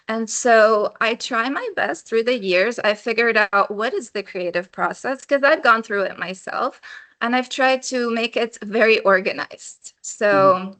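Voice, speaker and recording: A woman from Lebanon, an educator and researcher, answering interview questions in a lively conversational way and sometimes acting out her students. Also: mid-range voice, no room sound. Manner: animated, acts out students' voices.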